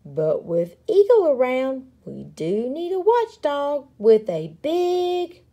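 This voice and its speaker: Storybook role: small plucky prairie dog, played by a woman, very high, swooping, urgent and bossy. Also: wildly swooping pitch, slow, full character voice. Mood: relieved, firm. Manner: urgent, moaning and yelling.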